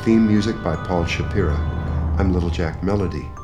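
A man's voice: low-pitched, moderate pace. A man reading scripted closing credits as the announcer of a radio theater show. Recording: roomy, echoing sound. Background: guitar theme music.

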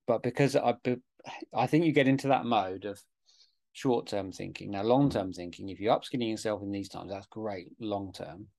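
A man hosting a casual interview, asking reflective questions with halting restarts. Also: lowish voice, vocal fry, darkish tone. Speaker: interviewer, host.

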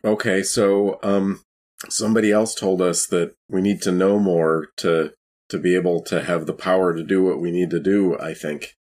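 A man acts out a nervous, bookish man in a tabletop roleplaying game. The voice is very low and slightly husky, stammering sheepishly through apologetic answers. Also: steady tone, normal speed, darkish tone. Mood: tentative. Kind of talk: tabletop game character dialogue.

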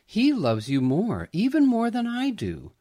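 Man voicing a gentle mother, lilting and tender. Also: high voice, huge pitch swings, measured pace, voice pushed up, heavily acted. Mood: gently earnest. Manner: tender, reassuring. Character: gentle, loving mother comforting her young daughter.

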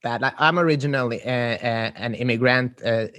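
Podcast host from sweden, kinda halting, repeats words a lot, dry close mic. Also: male voice, mid-range voice, fairly bright tone, dry recording. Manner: halting, repeats words while finding phrasing. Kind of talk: conversational interview. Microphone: podcast microphone.

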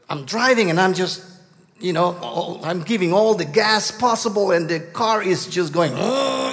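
A middle-aged man from Guatemala with a Guatemalan Spanish accent, telling a story as a guest preacher, halting and full of restarts. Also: high-pitched, long reverb tail. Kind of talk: storytelling sermon.

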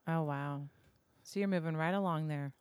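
A woman with a deep voice guesting on a podcast, chatting casually with self-mocking humor and swearing. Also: moderate pace, darkish tone, clear, resonant tone, no room sound, clean studio sound.

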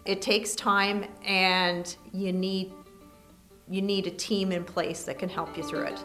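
A woman lawyer with a Canadian accent, answering interview questions in a measured, explanatory way. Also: medium pitch, little pitch movement, over music.

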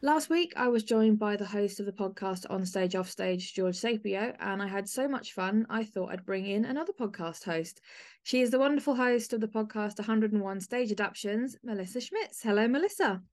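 A woman's voice: medium pitch, animated, normal speed, darkish tone. A woman hosting a podcast in a conversational way, chatty and effusive with her guest. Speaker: podcast host, interviewer.

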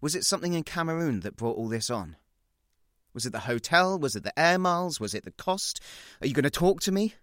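Guy playing fed up husband in a story, begging and pushy, high strained pitch, bright dry sound. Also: big pitch swings, dry recording. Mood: exasperated, pleading. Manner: urgent, pressing, rapid questioning. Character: exasperated, pleading husband at the end of patience. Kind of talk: dramatic short story reading.

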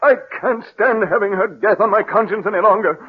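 A man's voice: high-pitched, brisk, breathy edge. A male actor playing a guilt-ridden, defiant man in a 1940s radio mystery, speaking in a tense, earnest, dramatic manner. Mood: anguished, determined. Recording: dull, lo-fi 1940s radio recording.

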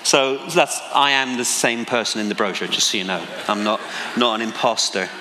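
A Northern Irish man in his forties preaches with a bright, forceful voice. He mixes self-deprecating asides with passionate emphasis. His words ring out with a long hall reverb. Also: medium pitch, moderate pace, a little hiss or hum. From Northern Ireland. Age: 40s. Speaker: pastor. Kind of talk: sermon.

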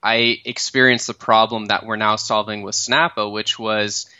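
Bright-toned Canadian man, lo-fi. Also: on the low side, normal speed, no echo. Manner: relaxed, lots of fillers and self-restarts. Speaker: guest, startup founder. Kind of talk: casual interview storytelling.

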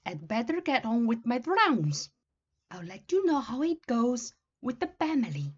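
A woman's voice: fairly high pitch, very animated, measured pace, slightly rough, full character voice. A woman playing a deferential young hospital nurse in a radio play, speaking dramatically on a muffled recording. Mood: upset.

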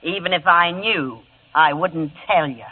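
A woman's voice: fairly low pitch, a little slow, very noisy, close to an everyday voice. An actress playing a tight-lipped, dismissive older landlady in a vintage radio drama. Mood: cagey. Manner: dismissive, folksy grammar. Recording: tinny old radio broadcast recording.

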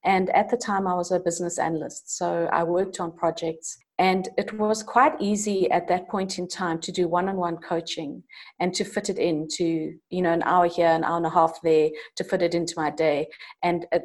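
A woman's voice: fairly low pitch, steady tone, normal speed. A middle-aged woman with a South African accent, answering interview questions about her work as a coach and change manager. She talks thoughtfully, with frequent ums and restarts.

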